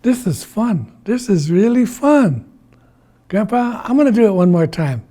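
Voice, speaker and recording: A man acting out a folktale trickster, childish, whiny, excitable, wide swings in pitch and volume. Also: moderate pace, slightly rough. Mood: gleeful. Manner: whining, begging, excitable. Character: childish, sulky, pleading trickster who turns greedy.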